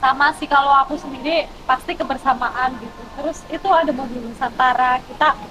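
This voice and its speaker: A woman's voice: sits high, fairly even intonation, fairly quick, over music. A young woman, chatting informally as a student guest on a talk show. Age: young adult. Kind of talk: casual talk show chat. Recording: clearly noisy background ambience.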